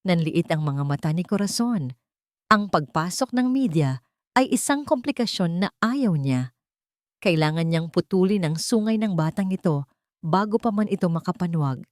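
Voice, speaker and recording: A woman's voice: fairly low pitch, lively intonation, moderate pace, darkish tone, no echo, clean studio sound. A woman with a Filipino accent reading a scripted audiobook story in a dramatic narrating style.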